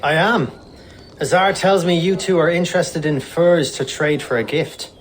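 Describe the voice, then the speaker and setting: An actor playing an obliging, plain-spoken fur trapper and trader in a historical video game, with a matter-of-fact manner. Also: male voice, on the high side, moderate pace, close to an everyday voice. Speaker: game character, trading post worker. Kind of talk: video game cutscene acting.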